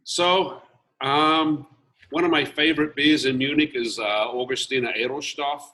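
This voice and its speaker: A man's voice: mid-range voice, steady tone, unhurried, bright, forceful tone, poor audio. An Australian-born man with a mild, Americanized Australian accent, a brewmaster answering casually and bluntly with many ums. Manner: easygoing, opinionated, many ums. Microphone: video call.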